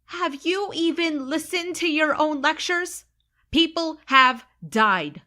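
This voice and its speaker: A woman's voice: high voice, takes it slowly, sharp tone, heavily acted. A woman playing a sarcastic, blunt, reluctant young space traveller in an audio drama. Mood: agitated, defiant. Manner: snarky, exasperated, blunt. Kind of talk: audio drama acting and narration.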